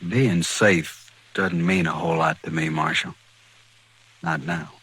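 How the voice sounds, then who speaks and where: An actor playing a courteous, resigned newcomer to the Old West, quietly resolute and doomed, in a vintage radio western. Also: male voice, sits low, steady tone, measured pace, breathy edge, heavily acted, rough recording. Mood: resigned, polite. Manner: polite, resigned, soft-spoken understatement. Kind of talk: 1950s radio western acting. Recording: vintage radio drama recording.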